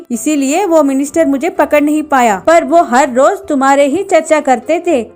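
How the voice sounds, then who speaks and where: A woman's voice: high-pitched, a little mellow, natural voice. A woman voicing a thief's shrewd wife in a comedy cartoon. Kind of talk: Hindi comedy cartoon dialogue.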